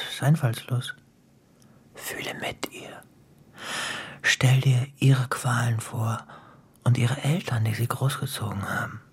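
An actor playing a menacing, philosophizing crime boss in a German radio drama, theatrical and toying, often sinking into a breathy whisper. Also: male voice, medium pitch. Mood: intimate, whispering menace. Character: menacing male crime boss, playful, philosophizing, coldly cruel.

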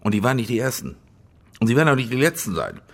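Flustered stadium boss in a radio play, slippery and nervy, very animated mid-pitched voice. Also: male voice, fast, gravelly. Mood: weary, aggrieved. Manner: stammering, nervous, flustered. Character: harried, evasive male stadium managing director, nervously jovial. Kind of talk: radio crime drama acting.

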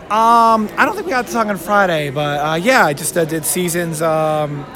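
A man's voice: sits high, animated, normal speed, crowd noise. A man chatting casually and eagerly as an interview guest, with plenty of emphasis. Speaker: interview guest, audio drama writer. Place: fan convention.

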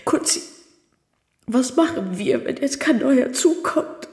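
An actress in a radio drama playing an anxious, tearful, clinging wife. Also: female voice, high voice, expressive, slow pace, creaky, darkish tone, heavily acted. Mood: plaintive. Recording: echoey room.

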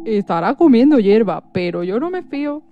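A woman's voice: a little high, big pitch swings, moderate pace, muffled recording, faint music behind. A woman playing a wary but helpful zebra in a children's radio play, speaking simply and earnestly.

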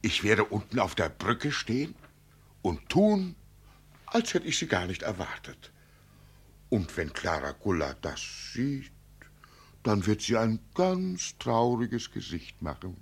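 An actor playing a doting old country father in a radio play, dreamy and playfully boastful, with a husky voice and a singsong manner. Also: fairly low pitch, huge pitch swings, heavily acted. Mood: fond, dreamy. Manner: slow, singsong, savouring his words. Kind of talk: German radio play acting.

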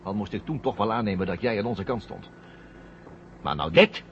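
Scornful traitor astronaut, taunting n sarcastic, voice swings a lot, old muffled radio drama w faint noise. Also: male voice, lowish voice, expressive, normal speed. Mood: mocking. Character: scornful male astronaut turned traitor, coldly triumphant. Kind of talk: 1970 Dutch science fiction radio drama acting.

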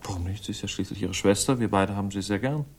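A man's voice: on the low side, fast talker, breathy edge. An actor playing a suave, haughty ladies' man, urbane and condescending, easily irritated, in a 1960s German radio crime drama. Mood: defensive, smooth.

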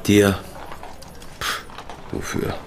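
An actor playing a bitter, proud working-class man in a radio drama, terse and guarded, with a gravelly voice. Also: extremely slow, background noise. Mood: guarded, curt.

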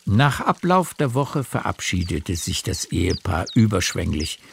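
A man narrating a literary radio play as a measured storyteller. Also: deep voice, sing-song, gravelly, slight background noise.

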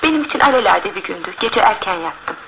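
A woman's voice: mid-range voice, lively intonation, fast talker, vocal fry. An actress playing a polite, dutiful young woman giving her account in Turkish radio theatre. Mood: matter-of-fact. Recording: phone-like, hissy old radio recording.

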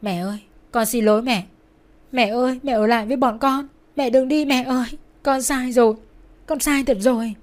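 A woman's voice: steady tone, normal speed, lightly acted. A woman voicing a desperate, guilt-stricken young woman in a tragic story, tearfully pleading. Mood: grief-stricken begging. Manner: pleading, tearful, raised pitch.

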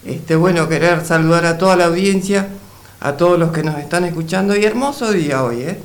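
A man's voice: on the high side, brisk. A man co-hosting a casual community radio show in a courteous, conversational manner. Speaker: radio co-host.

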